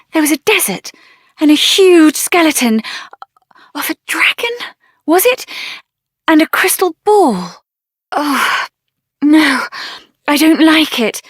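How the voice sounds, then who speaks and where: An actress voicing a spirited, headstrong young woman adventurer in a video game, expressive and earnest, with a tender side. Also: high voice, no room sound, clean studio sound, heavily acted. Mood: uneasy.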